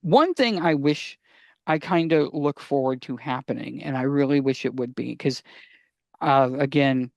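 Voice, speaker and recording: A man's voice: mid-range voice, measured pace, gravelly, no echo, highs cut off. A man co-hosting a casual podcast, explaining things emphatically and often posing questions that he answers himself. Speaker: podcast co-host.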